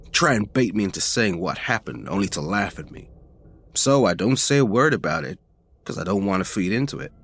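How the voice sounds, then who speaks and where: A man narrating a first-person ghost story in an informal, expressive, conversational way, as a podcast reader. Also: on the low side.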